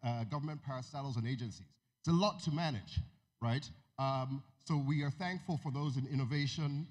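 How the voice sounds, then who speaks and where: A man speaks Nigerian English with an American lilt as he gives a conference keynote. His pitch is middling and his tone conversational. He quizzes the crowd and drops joking asides. His voice rings out in a large hall with a long reverb tail. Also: a little mellow. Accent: American-tinged Nigerian English. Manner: conversational, asks the audience questions, jokes asides.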